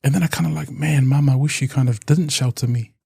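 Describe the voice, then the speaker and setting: Samoan-New man, mellow and reflective. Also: medium pitch, steady tone, fast, gravelly, slightly rough, no echo, studio quality. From New Zealand. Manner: reflective, with many restarts and fillers. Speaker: podcast guest. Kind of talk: casual interview talk.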